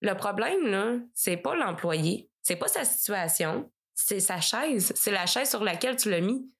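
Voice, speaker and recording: A woman with a Québécois French accent talks easily as a podcast guest. She rambles through her points with lots of fillers and laughs often, speaking at a mid pitch. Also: lively intonation, measured pace, vocal fry, slightly rough. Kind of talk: casual conversation.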